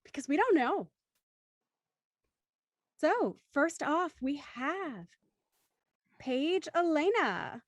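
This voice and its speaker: High-pitched, bubbly woman hosting a livestream. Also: very animated, very slow, long pauses, no room sound. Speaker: host introducing an online show's cast. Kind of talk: livestream hosting, chatty banter.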